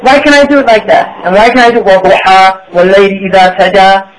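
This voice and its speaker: A man's voice: sits high, rapid-fire, rough recording. A man giving a religious lecture to an audience, asking rhetorical questions and checking in with "right?". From United States. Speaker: Islamic teacher.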